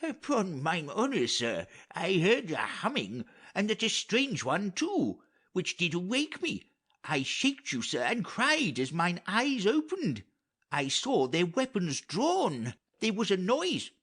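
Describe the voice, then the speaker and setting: A man's voice: high-pitched, wildly swooping pitch, takes it slowly, a bit bright, slightly rough, voice pushed up, heavily acted. A man playing a loyal, earnest elderly royal counsellor in a dramatic Shakespeare audiobook reading. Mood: earnest alarm.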